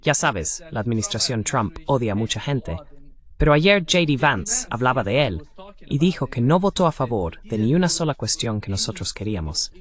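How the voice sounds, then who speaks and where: A man with an average-pitched voice hosts a political interview. His delivery is conversational, and his intonation moves up and down freely. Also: big pitch swings, moderate pace, a bit bright. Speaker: interview host.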